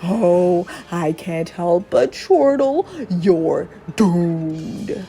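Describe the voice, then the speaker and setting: A woman voicing a gleeful, evil cursed creature from a video game, taunting and gloating with drawn-out cackles. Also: on the low side, lively intonation, gravelly, mellow, soft background music, pitched below the performer's own voice, heavily acted. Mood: sinister, gleeful. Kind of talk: dramatic video game dialogue reading.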